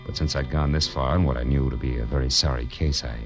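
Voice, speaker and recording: Low-voiced hard-boiled American detective. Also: male voice, fairly even intonation, fast talker. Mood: grim, wry narration. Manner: tough, sardonic, punchy delivery. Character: hard-boiled wisecracking male private detective narrating his case. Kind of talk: 1940s-50s radio detective drama acting, clipped noir narration. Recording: dull vintage radio recording with music.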